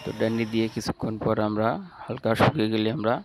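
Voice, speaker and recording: A man's voice: lowish voice, level tone, rapid-fire, slight background noise. A man with a Bangladeshi accent narrating a step-by-step repair tutorial in a plain, instructional way. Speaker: electronics repair channel host.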